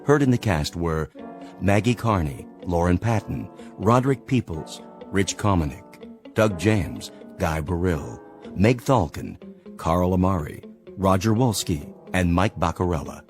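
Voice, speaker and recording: A man's voice: low-pitched, lively intonation, unhurried. An American man reading scripted radio credits as an announcer. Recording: music under announcement.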